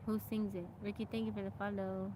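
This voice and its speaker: A woman's voice: medium pitch, quick pace, very noisy. A woman live streaming outdoors on a farm from her phone, chatting casually and telling a story with lots of laughs. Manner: animated storyteller, laughs often, thanks viewers by name. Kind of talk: casual livestream storytelling and chat.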